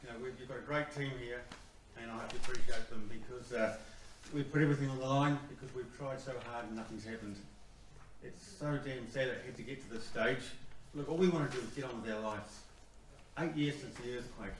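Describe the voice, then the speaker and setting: Pleading New man in echoey hall. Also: mid-range voice. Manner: plain-spoken, pleading. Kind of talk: impassioned informal public comment. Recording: echoey hall, distant mic, faint noise.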